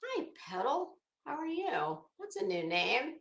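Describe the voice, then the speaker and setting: Casting: female livestream host, middle-aged, slightly high and slow-paced, chatty and teasing, roomy echo. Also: sing-song, vocal fry, a bit bright. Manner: chatty, teasing banter, salty language. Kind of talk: casual livestream chat, greeting viewers. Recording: echoey room.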